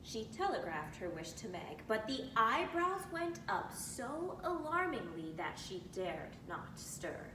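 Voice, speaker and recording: Unhurried, expressive woman reading a novel. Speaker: reader. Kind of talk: expressive narration of a classic novel. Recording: roomy, distant mic with rumbling noise.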